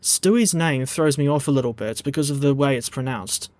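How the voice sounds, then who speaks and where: A man with a New Zealand accent reading a scripted narration in a dry, measured, digressive way. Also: mid-range voice, a little mellow. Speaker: video essay narrator.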